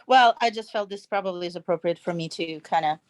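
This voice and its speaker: A woman from Belarus who speaks with a Belarusian accent and a fairly low voice. She is hesitant and halting, heard over a video call.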